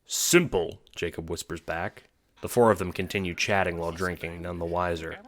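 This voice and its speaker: A man's voice: sits low, fairly even intonation, brisk, a bit bright. A man narrating a scripted audio drama in the second person.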